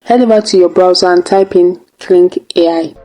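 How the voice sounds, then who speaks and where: Woman, tutorial host, lowish pitch, dark relaxed tone, casual step-by-step delivery, light music bed. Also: moderate pace, poor audio.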